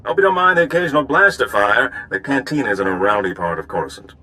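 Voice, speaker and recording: An actor playing a charming, worldly older gambler and informant in a video game, suave, genteel and self-assured. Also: male voice, mid-range voice, lively intonation, fast talker, pushed and bright. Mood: warm, jovial.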